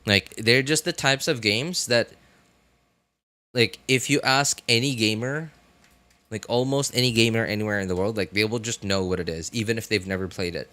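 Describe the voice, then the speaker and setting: Low-pitched young man, rambling streamer. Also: sharp tone. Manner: rambling, halting, repeats himself. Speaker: game streamer. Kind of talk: casual livestream commentary.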